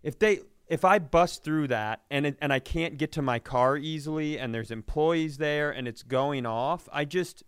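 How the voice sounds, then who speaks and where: Smooth-voiced, higher-pitched man telling comic stories. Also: moderate pace, few pauses, no room sound, clean studio sound. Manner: self-deprecating, profane. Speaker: podcast co-host. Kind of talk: comedic storytelling in casual podcast chat.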